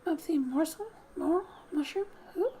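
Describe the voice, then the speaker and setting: A woman softly narrating a game as ASMR host, breathy and often near a whisper, with gentle, unhurried, playful asides. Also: extremely high pitch. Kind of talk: soft-spoken ASMR game narration, reading text aloud with asides.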